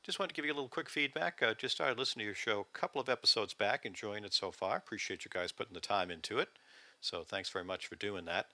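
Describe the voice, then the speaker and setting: A man's voice: on the low side, quick pace, pushed and bright, no room sound. A man leaving a casual, friendly recorded message as a fellow podcaster. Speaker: podcaster leaving listener feedback.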